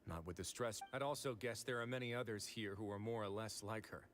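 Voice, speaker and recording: An actor playing a calm male game hero who leads a rescue, speaking in a measured, composed way. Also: on the low side, slight background noise, close to an everyday voice. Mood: steady. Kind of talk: game voice acting.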